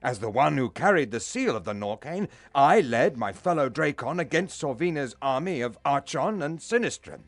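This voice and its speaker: An actor playing a grave, honourable veteran warrior commander in a fantasy game, with a formal manner. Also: male voice, medium pitch, lively intonation, moderate pace, hardly any pauses, no echo. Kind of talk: fantasy game cutscene acting.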